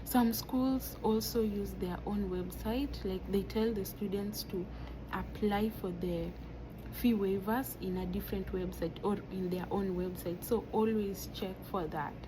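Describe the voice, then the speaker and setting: A woman's voice: medium pitch, fairly even intonation, a little slow. Kenyan young woman explaining, noisy background. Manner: informal, uses many "like" fillers. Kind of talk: casual explainer video.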